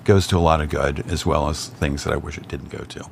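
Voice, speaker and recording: Deep-voiced older man, dry wit. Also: flat delivery, brisk, gravelly, a little hiss or hum. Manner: dry, wry humor with hesitant restarts. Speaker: guest. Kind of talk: casual chat.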